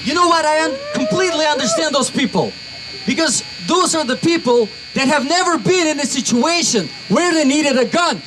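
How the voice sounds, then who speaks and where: A young man with a Russian accent giving an energetic speech to a crowd at an outdoor rally. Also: very high-pitched, normal speed. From Uzbekistan. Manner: energetic, builds to shouts. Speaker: rally speaker. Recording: noisy outdoor crowd recording.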